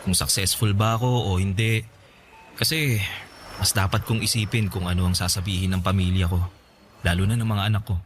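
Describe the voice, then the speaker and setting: A man's voice: low-pitched, steady tone, brisk, pauses often, a little hiss or hum, lightly acted. An actor playing an earnest, sincere married father in a radio drama. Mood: earnest, reflective. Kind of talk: Tagalog radio drama acting.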